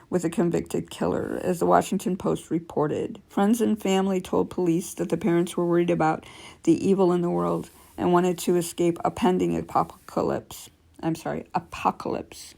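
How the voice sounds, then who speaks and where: Female storyteller, scratchy and dark-toned, reading real-life creepy tales with offhand asides. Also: lowish voice, vocal fry. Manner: stumbles and corrects herself while reading. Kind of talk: reading aloud with casual asides.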